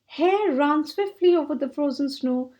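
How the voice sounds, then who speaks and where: A woman's voice: high-pitched, measured pace, a little mellow, highs cut off. A woman narrating a children's book read aloud as a storyteller.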